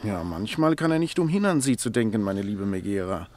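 Meek, patient henpecked husband. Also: male voice, fairly low pitch, sing-song, fairly quick, no room sound. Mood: wry, patient. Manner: gentle, patient, placating with dry understatement. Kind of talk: theatrical radio play acting.

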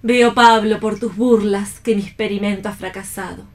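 A woman's voice: medium pitch, steady tone, moderate pace, lightly acted. A woman acting a proud, idealistic, wounded young bride in a play reading for radio, delivered with dramatic emotion. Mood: reproachful.

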